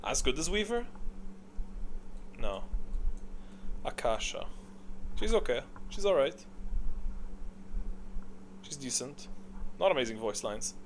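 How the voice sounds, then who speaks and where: A man's voice: medium pitch, big pitch swings, slow pace, pauses often. Casual man rambling over noise. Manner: rambling, thinking aloud, gamer slang. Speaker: gaming video creator. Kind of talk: casual opinionated tier-list commentary.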